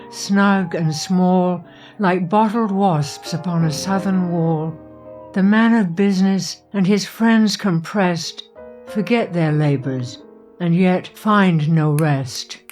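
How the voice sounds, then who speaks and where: A woman performer reciting poetry dramatically in a measured, deliberate way, recorded in a studio. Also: on the low side, darkish tone. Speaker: spoken-word performer. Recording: studio recording with music behind. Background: music.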